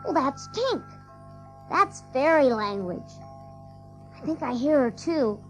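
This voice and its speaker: A woman's voice: high-pitched, very animated, slow pace, over music, exaggerated voice, poor audio. A woman playing a cocky, boastful little boy with a childlike manner in an audio drama of a storybook. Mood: eager. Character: cocky, boastful ageless little boy who can fly.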